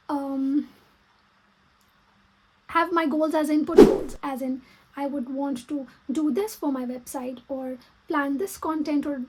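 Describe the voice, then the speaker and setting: Pakistani lady, urdu native speaker, high voice, casually planning out loud in a vlog, stop and start. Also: poor audio. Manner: thinking aloud, halting while typing. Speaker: vlogger and small business owner. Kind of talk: casual plan-with-me vlog talk.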